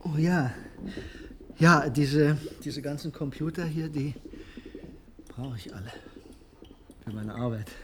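Slow, halting absent-minded scientist. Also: male voice, on the high side, noisy background. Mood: flustered, distracted. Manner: halting, hesitant, trailing off and repeating words. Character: absent-minded, troubled male scientist, evasive and preoccupied. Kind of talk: 1980s German radio drama acting.

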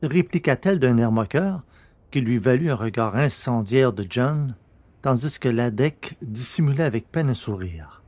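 A male narrator reads a science fiction tale in a middle-range voice. His delivery is scripted, measured and literary, in the manner of an audiobook. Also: mid-range voice, expressive, darkish tone, no echo.

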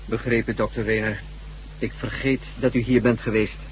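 An actor playing an obedient low-ranking guard in a Dutch radio drama, speaking in a formal, submissive manner. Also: male voice, little pitch movement, normal speed, close to an everyday voice. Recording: noisy old radio drama recording.

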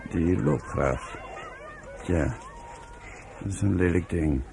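Earnest middle-aged professor, radio drama, very low lively voice, noisy recording with crowd behind. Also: male voice, slow pace, creaky, full character voice. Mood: grave. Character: genial professor leading a kibbutz children's village, earnest idealist. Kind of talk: Dutch radio play acting.

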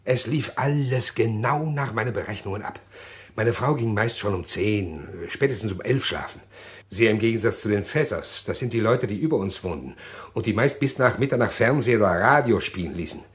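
A man acting a scheming, respectable middle-class husband in a German radio crime drama, speaking precisely and insistently in a husky voice. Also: on the low side, animated, normal speed, lightly acted. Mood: calculating, recounting. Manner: precise, insistent, well-spoken.

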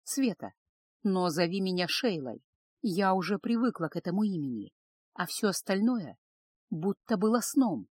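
A woman voicing a world-weary, consoling harem woman in an audiobook, gentle and measured. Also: mid-range voice, sing-song, husky, dry recording. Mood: soothing, sad.

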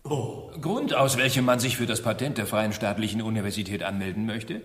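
An actor playing a fawning, fanatical examiner in a satirical radio play, theatrical and parroting. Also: male voice, on the low side, a little fast, a bit bright, close to an everyday voice. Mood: officious, fawning. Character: fawning, fanatical male examiner echoing his superior. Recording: echoey hall, faint noise.

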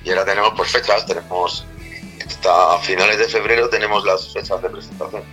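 A middle-aged Spanish rock singer speaks in a very low voice with a rough, cracked edge and a sharp, forceful tone. He rambles loosely through his answers and keeps tacking little questions onto the ends of his sentences. Music plays faintly under the interview. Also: steady tone, a little fast, poor audio. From Spain. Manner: rambling, many restarts and tag questions. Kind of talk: casual interview talk.

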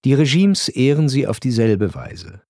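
A man reading a scripted radio narration in a grave, measured storytelling manner. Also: mid-range voice, big pitch swings, dark tone, no room sound, clean studio sound.

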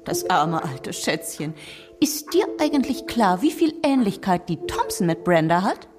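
A woman's voice: on the low side, big pitch swings, unhurried, music in the background. An actress playing a melodramatic, self-absorbed middle-aged wife and mother in a radio drama, with an affected, gushing manner. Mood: dramatic indignation.

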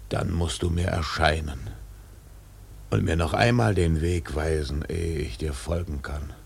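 A man playing a brooding, obsessed old whaling captain in a radio drama, with gravelly, weighty speech. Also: extremely low pitch, unhurried, full character voice. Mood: musing, low. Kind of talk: German radio play acting.

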